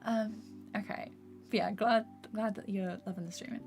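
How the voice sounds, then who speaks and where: Young woman on stream, kinda croaky, rambly and soft, quiet music behind. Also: mid-range voice, fairly even intonation, moderate pace. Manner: rambling, filler-heavy, soft-spoken. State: slightly hoarse. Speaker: animator streaming while drawing. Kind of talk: casual live-stream chat while working. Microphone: desktop USB microphone. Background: faint background music.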